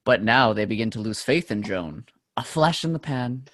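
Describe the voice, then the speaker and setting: Man as remorseful male soldier, middling pitch, earnest, grieving, devoted, stage-play table read. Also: animated, normal speed, fairly bright tone. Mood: resigned.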